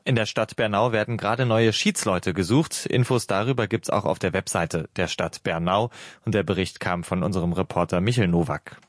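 Radio news host, male, lowish register, dry studio sound, scripted presenting. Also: normal speed, no room sound.

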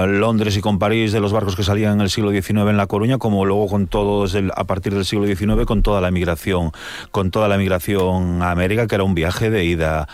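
A man's voice: low voice, a little mellow, no room sound. A man from Galicia, a publisher answering interview questions thoughtfully, often restarting his sentences. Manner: thoughtful, explanatory, frequent restarts. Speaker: publisher guest.

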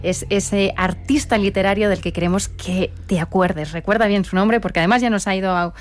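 A woman hosting a radio book interview in Spain, asking questions and praising with lively enthusiasm. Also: mid-range voice, brisk, slight background noise. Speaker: radio host.

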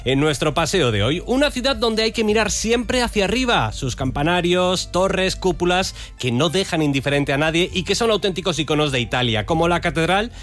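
A man's voice: high-pitched, lively intonation, a little fast, few pauses, fairly bright tone. A man with an accent from Spain co-hosting a radio travel segment in a semi-scripted presenting style. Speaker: radio co-host. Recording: music playing behind the voice. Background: music.

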